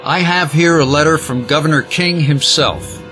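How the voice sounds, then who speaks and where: A man's voice: on the high side, moderate pace, over music, natural voice. A man playing a stiffly patient police interrogator in an audio drama, formal and official. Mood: apologetic, firm.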